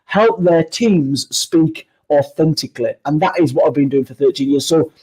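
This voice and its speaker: A man's voice: a little high, normal speed, darkish tone, breathy edge, poor audio. A man in his fifties with a Yorkshire accent, a down-to-earth guest speaker answering interview questions reflectively. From Yorkshire, England. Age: 50s.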